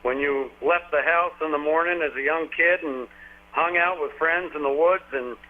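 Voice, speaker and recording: A man's voice: on the high side, normal speed. A man in his forties from Vermont, a country singer telling stories in a casual, rambling way with lots of fillers. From Vermont, USA. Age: 40s. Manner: rambling, folksy storytelling with frequent fillers. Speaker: country singer, interview guest. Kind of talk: casual phone interview. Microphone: telephone. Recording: tinny phone line.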